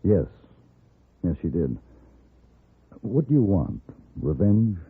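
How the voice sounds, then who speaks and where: An actor playing a composed, probing gun-for-hire hero in an old radio western, questioning in a commanding way. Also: male voice, low voice, very animated, slow, heavily acted. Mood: cool, businesslike. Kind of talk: 1950s radio western acting. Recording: muffled, rough old radio recording.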